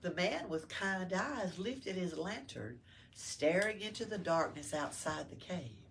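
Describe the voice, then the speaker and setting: A woman reads aloud to children in a teacherly, expressive way, pausing to ask questions. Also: lowish voice, vocal fry. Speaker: library story-time reader. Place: library room with children. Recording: faint background room noise.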